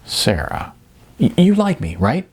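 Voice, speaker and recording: A man voicing an awkward, gentle middle-aged man in an audiobook, halting and hesitant as he speaks. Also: medium pitch, huge pitch swings, husky, pitched above the performer's own voice, full character voice. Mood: regretful.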